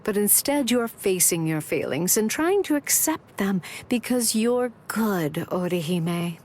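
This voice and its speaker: A woman's voice: medium pitch, animated, unhurried, a little mellow. Confident, teasing big-sister woman with swooping intonation. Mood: tender, reassuring. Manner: playful, coaxing, candid. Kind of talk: anime game voice acting.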